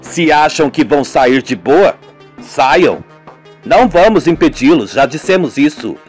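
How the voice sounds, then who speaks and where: A man voicing a menacing village cult elder who speaks in a slow, threatening way. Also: a little high, animated, slightly rough, faint music behind, pitched below the performer's own voice.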